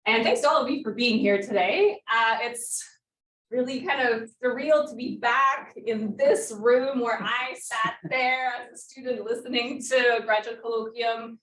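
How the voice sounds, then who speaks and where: A woman's voice: a little high, measured pace, a bit bright. Woman lecturing in an echoey room. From Montreal, Canada. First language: French. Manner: friendly, hesitant with fillers, laughs lightly. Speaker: guest lecturer, design professor. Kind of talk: academic talk. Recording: echoey room, distant mic.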